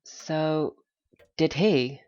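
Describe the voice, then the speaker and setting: A man's voice: a little high, extremely slow, darkish tone, muffled recording. A man playing a mild, doubtful listener in a naturalistic audio drama, answering in short, tentative, questioning replies. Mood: doubtful, agreeable.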